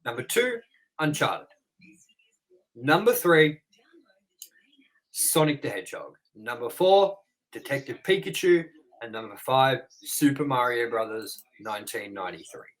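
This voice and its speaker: A man's voice: mid-range voice, expressive, unhurried, long pauses, low-quality recording. A man co-hosting a movie review show, reading trivia and goofs from his notes in a casual way and swearing offhandedly.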